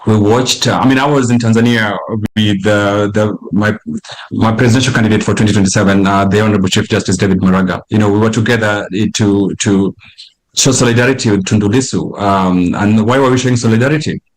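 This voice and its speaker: Forceful Kenyan man, restarts a lot. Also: lowish voice, steady tone, brisk, poor audio. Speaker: political activist guest. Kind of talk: news interview.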